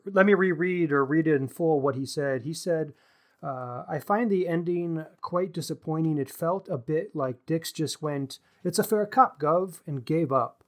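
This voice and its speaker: A man co-hosting a book podcast, discussing writing analytically in measured, considered phrases. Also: a little high. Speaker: podcast co-host.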